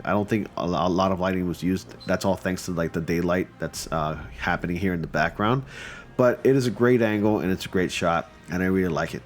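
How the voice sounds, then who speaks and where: A man with a stuffy, just-off-a-cold voice, casually and enthusiastically commenting on fan photo submissions with lots of fillers. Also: sits low. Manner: chatty and enthusiastic, with many fillers.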